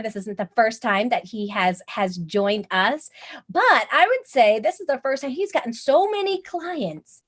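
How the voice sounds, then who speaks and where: A woman hosting an online tutoring masterclass, bubbly and gushing, with lots of "like" fillers. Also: mid-range voice. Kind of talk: enthusiastic webinar hosting.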